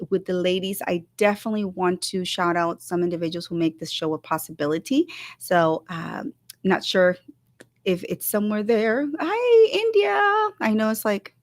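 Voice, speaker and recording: A woman from El Salvador hosting a casual livestream talk show, bubbly and effusive, repeating words for emphasis as she gives viewers shout-outs. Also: medium pitch, dry recording.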